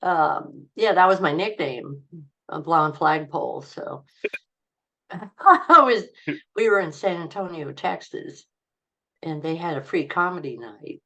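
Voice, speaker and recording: An older woman speaking slowly in a low voice, a comedian sharing life stories with an interviewer. She rambles and halts with fillers and laughs often as she goes. Her talk is low and unhurried. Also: lively intonation, a bit bright. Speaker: comedian guest. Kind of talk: casual interview storytelling.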